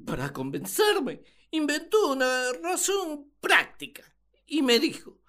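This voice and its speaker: Woman playing high-pitched, whiny, exasperated first man. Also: huge pitch swings, slow, a bit bright, heavily acted. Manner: exclamatory, plaintive, comic. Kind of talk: radio comedy drama.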